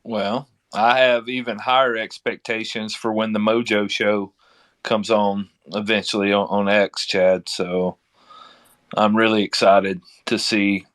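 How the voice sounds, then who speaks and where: Guy with a kinda low voice hosting a casual online audio chat. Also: level tone, unhurried, a bit bright. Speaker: host moderating online group audio chat. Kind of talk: casual chat, moderating speakers.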